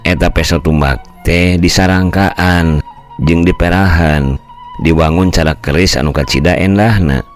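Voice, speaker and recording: An older man storyteller voicing a calm older brother who explains things slowly and gently. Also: rumbling low.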